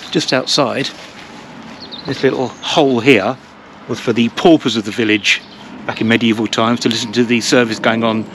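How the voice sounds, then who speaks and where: A man's voice: mid-range voice, steady tone, normal speed, slight background noise. A man in his fifties giving an enthusiastic, rambling walking commentary while touring old churches, filmed with a handheld camera at arm's length. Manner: enthusiastic, rambling, softly spoken asides. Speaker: vlogger touring historic churches. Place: inside old stone chapels and churches. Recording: echoey church interior, long reverb.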